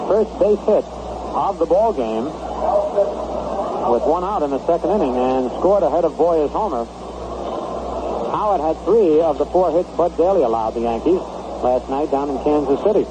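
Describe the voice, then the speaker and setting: A man with an American accent calls baseball play by play in a measured, conversational way, speaking in clipped descriptive phrases like a mid-century radio sportscaster. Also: fairly high pitch, expressive. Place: ballpark broadcast booth. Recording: noisy vintage 1960 radio broadcast. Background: loud background noise.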